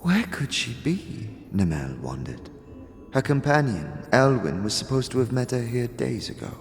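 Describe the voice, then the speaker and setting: A man plays a melancholy otherworldly woman in a fantasy audio drama. The speech is musical and deliberate, rising and falling dreamily over faint music. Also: mid-range voice, big pitch swings, long reverb tail. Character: mysterious sad otherworldly woman who speaks of herself in third person.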